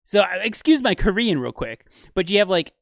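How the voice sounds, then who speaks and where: A man breaking down music in an enthusiastic, explanatory way for a video, with fillers and quick asides. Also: high-pitched, very animated, a bit bright, no echo, clean studio sound. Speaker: video commentator.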